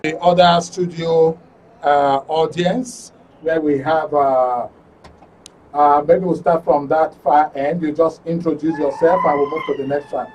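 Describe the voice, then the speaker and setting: Nigerian guy hosting live panel, highish voice, stop-start, bit distant from mic with faint noise. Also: steady tone, a little slow, darkish tone. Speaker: radio and TV programme host. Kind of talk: live studio panel introduction. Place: radio and TV studio. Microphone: studio microphone.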